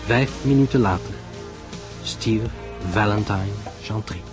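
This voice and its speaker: A man's voice: lowish voice, takes it slowly, over music. A man narrating a scripted story in a dramatic style.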